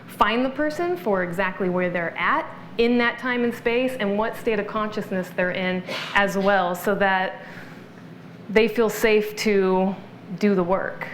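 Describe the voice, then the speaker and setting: A woman's voice: medium pitch, measured pace, echoey room, a little hiss or hum. A woman being interviewed as a practitioner, explaining her work earnestly and affirming often. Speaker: guest practitioner. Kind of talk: interview conversation.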